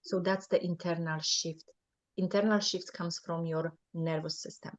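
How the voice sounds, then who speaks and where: A woman's voice: on the low side, fairly even intonation, normal speed. Earnest Polish-accented woman coach. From Poland. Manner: earnest, often checks in with "right?". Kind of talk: coaching advice in an interview. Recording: muffled lo-fi audio.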